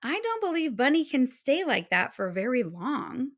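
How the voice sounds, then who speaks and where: A woman's voice: sits high, big pitch swings, normal speed, heavily acted. A woman voicing a polite, long-suffering mother hare in a children's storybook, in a fretful, sighing manner. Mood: worried.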